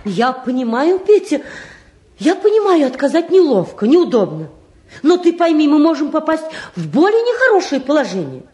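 A woman's voice: sits high, very animated, moderate pace, warm tone, a little hiss or hum. An actress playing an anxious middle-aged mother and housewife, agitated and pleading, in a mid-century Soviet radio-play style. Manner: agitated, pleading, repeats herself. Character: anxious, fretful mother and housewife, fussing over her sons.

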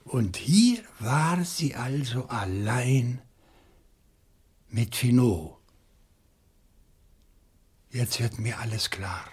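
Husky, deliberate professor sleuth. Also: male voice, medium pitch, expressive, darkish tone, full character voice. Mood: pondering. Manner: deliberate, theatrical, reasoning step by step. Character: shrewd, indignant male professor turned amateur sleuth. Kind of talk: German radio crime play acting.

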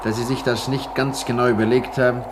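A man's voice: medium pitch, little pitch movement, a little fast, close to an everyday voice. Anxious cable-car worker played by a man, noisy recording. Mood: uneasy, insistent. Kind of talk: German radio play acting.

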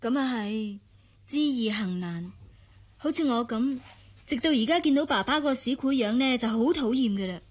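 An actress with a Hong Kong Cantonese accent playing an earnest, principled young bride-to-be who speaks candidly in a radio drama. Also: female voice, on the high side, expressive, normal speed. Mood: sisterly. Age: young adult.

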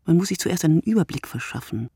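Tired sleepless woman, low husky hushed voice, measured literary radio play monologue, super dry sound. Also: expressive, no echo, exaggerated voice. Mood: weary, contemplative. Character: weary, sleepless woman narrating her night-watch thoughts.

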